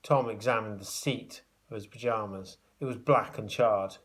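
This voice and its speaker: A man reading a children's story aloud like a schoolteacher, with expressive, dramatic emphasis. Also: lowish voice, moderate pace. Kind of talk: animated children's storybook narration.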